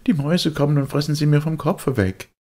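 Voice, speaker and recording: A man's voice: a little high, a little fast, dark tone, voice pushed up, lightly acted. A man voicing a shrewd, mocking street boy who teases scornfully in a children's storybook. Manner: teasing, scornful.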